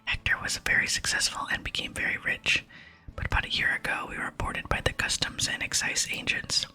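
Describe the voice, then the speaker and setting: A woman's voice: brisk, pushed and bright, low-quality recording. A woman voicing a male pirate sailor in a video game, reading his salty dialect lines in an ASMR whisper. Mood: conspiratorial. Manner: pirate talk with dialect grammar. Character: male pirate sailor, gruff old mate Frank.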